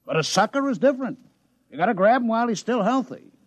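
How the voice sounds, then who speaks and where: An actor playing a brash, folksy, shrewd casino boss with slangy, breezy hustler talk in a vintage radio drama. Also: male voice, very high-pitched, lively intonation, quick pace, no room sound. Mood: brash, bargaining.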